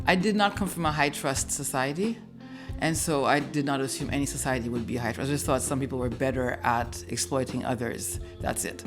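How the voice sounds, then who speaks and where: A woman in her fifties tells her own story in a low, unhurried voice. She sounds reflective and candid as she talks, with soft music barely there underneath. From born in New York, raised in Haiti. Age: 50s. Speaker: guest telling her migration story. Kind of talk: personal storytelling.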